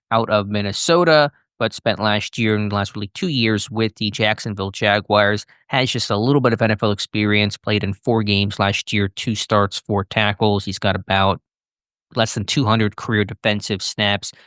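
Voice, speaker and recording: Fluent American man, lowish dry-miked voice. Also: a bit bright, clear, resonant tone, dry recording. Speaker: sports podcast co-host. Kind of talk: conversational sports analysis.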